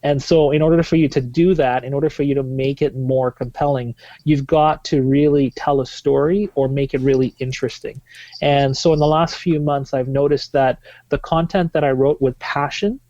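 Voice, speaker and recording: A man's voice: mid-range voice, fairly even intonation, moderate pace, mellow, no room sound, muffled recording. A man in his thirties from Tanzania, answering podcast questions as a marketing guest, fluent but with many "uh" fillers and restarts.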